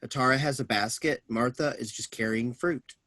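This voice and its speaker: A man narrates a staged play reading. He delivers stage directions as scripted narration, at an ordinary middle pitch. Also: moderate pace, bright, forceful tone.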